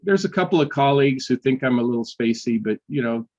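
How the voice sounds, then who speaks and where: An older man, a history professor, telling personal stories in a casual interview, often restarting sentences and filling pauses. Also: medium pitch, animated, a little mellow, lo-fi sound. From United States. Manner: halting, many restarts and fillers.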